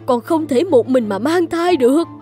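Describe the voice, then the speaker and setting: A woman voicing a fretful middle-aged mother in an animated folktale. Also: sits high, moderate pace. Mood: tearful, imploring. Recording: music bed under the voice.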